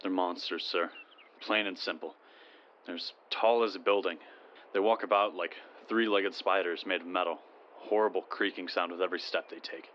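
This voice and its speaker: A man's voice: sits low, fairly even intonation, moderate pace, fairly bright tone, muffled recording, slight background noise. A man playing an earnest, dutiful American soldier in an audio drama. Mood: grim, dutiful. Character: earnest American soldier, survivor, confident in the army.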